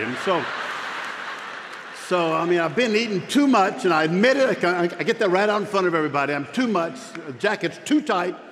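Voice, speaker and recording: A man's voice: medium pitch, a bit bright. A man in his fifties who stutters, preaching a joking, self-deprecating sermon on a church stage. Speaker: pastor. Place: large church sanctuary stage. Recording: long hall reverb, faint room noise.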